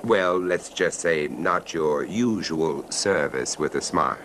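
An actor playing a reserved, urbane traveler who narrates a radio suspense tale in a measured, polite, literary manner. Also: male voice, fairly bright tone, exaggerated voice. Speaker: narrator and character. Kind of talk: old-time radio horror narration and acting. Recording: noisy radio recording, train rumble.

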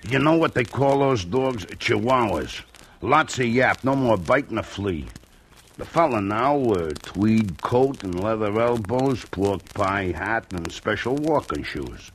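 An actor playing a slow, blunt, menacing young washed-up boxer in a 1950s radio crime drama. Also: male voice, lowish voice, expressive. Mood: sullen. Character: dim, brutish young male washed-up boxer turned drifter. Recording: noisy old radio recording with clicks.

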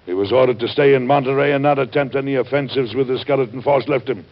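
A man playing a weary, principled middle-aged president in a historical radio drama, speaking formally, firmly and with measured delivery. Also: mid-range voice, close to an everyday voice. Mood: stern, troubled. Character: weary, principled American president, stern and exacting. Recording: dull lo-fi vintage radio recording.

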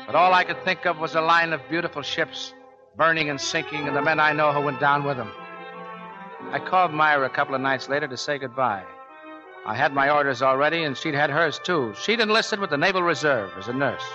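A man with an American accent playing a gruff, blunt Navy gunner's mate in a 1940s radio drama. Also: on the high side, fairly quick, close to an everyday voice. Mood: somber narration. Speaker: actor playing lead and narrator. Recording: dull 1940s radio recording with music.

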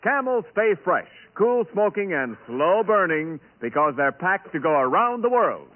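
A man's voice: high voice, very animated, a little slow. A man working as a radio announcer and comic foil, with hearty, projected 1940s showbiz delivery. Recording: tinny band-limited 1940s radio broadcast.

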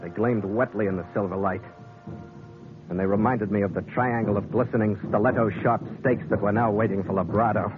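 A man's voice: lowish voice, steady tone, brisk. Man, sea captain narrator, wry and dry, old radio drama, phone-thin sound, music behind. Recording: tinny old radio recording.

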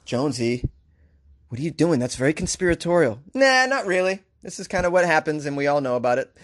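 A man's voice: fairly high pitch, sing-song, a little fast. A man with a Boston accent hosting talk radio, ranting sarcastically about the news and laughing often. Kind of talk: opinionated news commentary.

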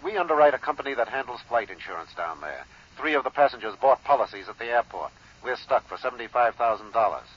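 Husky american insurance man, grim and clipped, sounds like an old dull radio recording. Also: medium pitch, expressive, quick pace, a bit bright. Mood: grim, urgent. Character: terse, grim male insurance company man. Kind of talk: 1950s radio drama acting. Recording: muffled 1950s radio recording.

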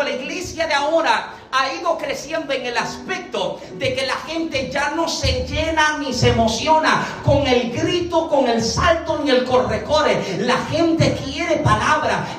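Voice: medium pitch, fairly bright tone. Puerto Rican preacher in an echoey hall. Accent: Puerto Rican Spanish. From Puerto Rico. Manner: rhetorical questions, repeatedly checking that listeners follow. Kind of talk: sermon to a congregation. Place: large echoey room, church service. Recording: echoey hall, distant mic, music behind.

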